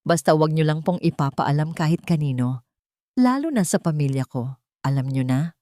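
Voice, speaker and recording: A woman's voice: low voice, lively intonation, moderate pace, warm tone, no echo, studio quality. A woman voicing a modest, generous young man who speaks politely and reassuringly in a drama story. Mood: reassuring, discreet.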